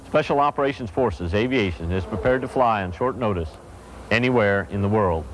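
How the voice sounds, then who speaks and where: Male military presenter, lower register, formal scripted explanation, recorded with clear vehicle-like noise behind. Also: expressive, fairly quick.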